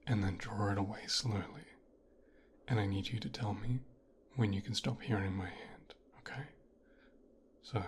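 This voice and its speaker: A man performing an ASMR roleplay as a teasing, commanding mafia boss's son, speaking softly and often whispering close to the microphone. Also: fairly low pitch, slight background noise. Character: mafia boss's son giving a teasing, domineering medical exam.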